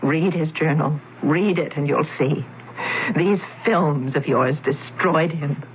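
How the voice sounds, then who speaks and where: An actress playing a grieving widow in a radio drama, halting and pleading at first, then bitter and accusing. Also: female voice, deep voice. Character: grieving widow confronting the firm. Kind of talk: 1970s radio drama acting. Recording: tinny lo-fi radio broadcast, faint hiss.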